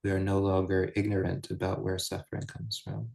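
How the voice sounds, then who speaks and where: A man guiding a meditation as a teacher, speaking in measured, deliberate short phrases with pauses between them. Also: low voice, monotone, creaky, a little mellow. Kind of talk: guided meditation, unscripted Buddhist teaching.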